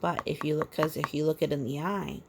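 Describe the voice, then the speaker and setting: A woman reading a children's picture book aloud, playful and sing-song, pausing for asides and counting as if talking to small children. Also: deep voice, steady tone, creaky, noisy background.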